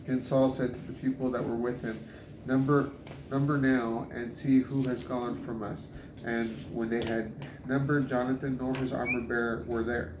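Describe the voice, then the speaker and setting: A man's voice: medium pitch, little pitch movement, moderate pace. A man reading scripture aloud in a plain way, now and then stumbling over a phrase. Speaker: scripture reader. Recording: roomy, noisy home recording.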